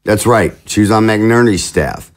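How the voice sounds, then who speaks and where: An actor playing a mischievous, glib political boss in an audio drama, with a sly, folksy way of talking. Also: male voice, on the low side, unhurried, natural voice. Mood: sly, pleased. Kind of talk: audio drama dialogue acting.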